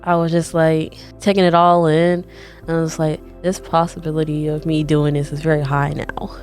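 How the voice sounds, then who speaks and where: A young woman telling her own story as a podcast guest, chatty and lively, quoting herself often. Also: sits low, faint music behind. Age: young adult. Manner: chatty, quick bursts, frequent "like" and quoted self-talk. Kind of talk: casual personal storytelling.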